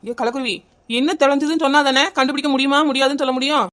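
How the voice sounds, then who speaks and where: A woman's voice: high voice, fairly even intonation, rapid-fire, fairly bright tone. A woman playing a blunt, impatient village neighbour in a comic cartoon, with a southern Tamil Nadu accent. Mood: exasperated. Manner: blunt, scolding.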